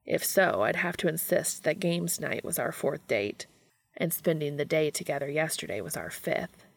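A woman voicing an earnest, courteous, quietly flirty man in a storybook reading, with formal, measured wording. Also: deep voice, gravelly, no room sound.

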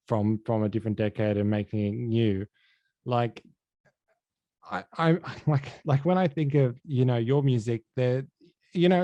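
A man interviewing a guest, working his questions out slowly with many fillers and restarts. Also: fairly low pitch, dark tone, no echo. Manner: rambling, halting, heavy with fillers and restarts. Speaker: podcast interviewer. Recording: dull, dry sound.

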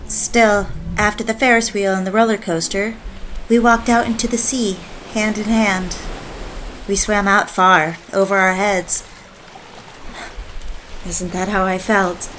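A woman's voice: medium pitch, slight background noise, lightly acted. Actress playing a wistful, romantic young woman. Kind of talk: dramatic dialogue and reflective first-person narration.

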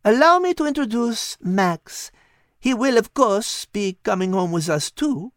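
A woman's voice: on the high side, expressive, measured pace, no room sound, studio quality, voice pushed up. A woman voicing a wise, polite female cat who speaks with a French accent, reading a storybook. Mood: warm. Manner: French-accented, gently teaching.

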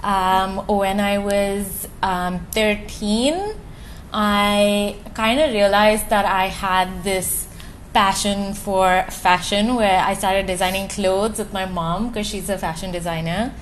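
A young woman from Goa, a podcast guest telling her story softly with small laughs. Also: medium pitch, steady tone, slight background noise, rough recording. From Goa, India. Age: young adult. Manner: soft, giggly, unhurried. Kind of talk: casual interview chat.

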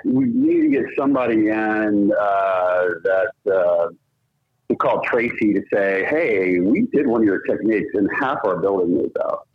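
A man's voice: fairly low pitch, sing-song, unhurried, husky. A man bantering casually and improvising prank calls as a podcast guest, heard over a lo-fi connection. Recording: dull, lo-fi phone-like connection.

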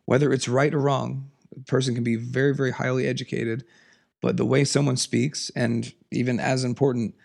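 A man hosting a solo podcast, raspy from a cold, talking in an earnest, emphatic, passionate way. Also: little pitch movement, normal speed, a little mellow. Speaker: podcast host. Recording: lower audio quality.

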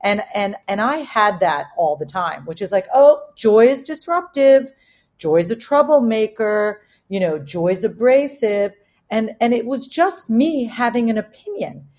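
A woman's voice: fairly high pitch, expressive, a little slow, darkish tone. A woman speaking candidly as a company co-founder on a panel, motivational and emphatic, repeating phrases to drive points home. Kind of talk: candid discussion, motivational business talk.

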